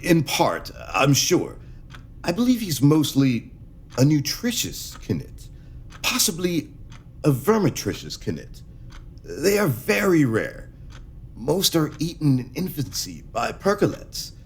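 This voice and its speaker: An actor playing an anxious, secretive alien dignitary, speaking hesitantly and earnestly in a science fiction audio drama. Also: male voice, on the high side, huge pitch swings, slow pace, breathy edge, heavily acted. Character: anxious, secretive alien dignitary pleading for his endangered people.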